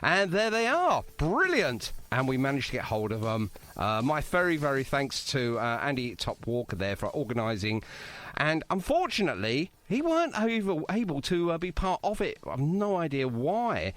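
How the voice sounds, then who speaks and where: A man's voice: a little high, sing-song, moderate pace, a bit bright. A man hosting a radio interview in a chatty, jokey, effusive way. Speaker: radio show host. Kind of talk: casual, bantering radio interview.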